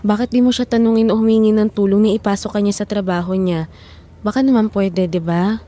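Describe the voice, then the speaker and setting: A woman's voice: medium pitch, steady tone, a little fast, warm tone, natural voice. A woman acting as a weary, frustrated wife and mother in hard times, emotional and reproachful, in an audio drama. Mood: gently concerned. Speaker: voice actor.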